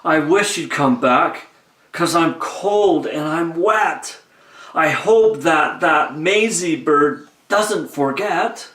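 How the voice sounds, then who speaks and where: Man playing a slow, earnest storybook elephant. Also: fairly high pitch, lively intonation, a bit bright, reverberant. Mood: glum. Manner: deliberate, earnest. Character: huge, gentle, faithful male elephant, earnest and dutiful.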